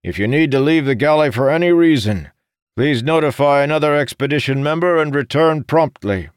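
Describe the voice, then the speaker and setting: A man voicing a commanding naval first officer in an audiobook, booming out formal announcements. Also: fairly quick, smooth, no room sound, clean studio sound, close to an everyday voice.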